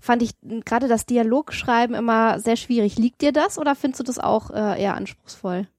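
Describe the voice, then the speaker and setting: A woman's voice: fairly high pitch, steady tone, a little fast, darkish tone, no echo, studio quality. A woman hosting a casual interview, asking questions in a conversational way. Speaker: interviewer, podcast host.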